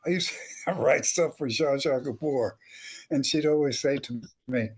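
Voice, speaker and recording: A man's voice: medium pitch, normal speed, slightly rough. An older man, a comedy writer on a panel, telling anecdotes in a wry, rambling, chuckling way. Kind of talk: animated anecdotal panel talk.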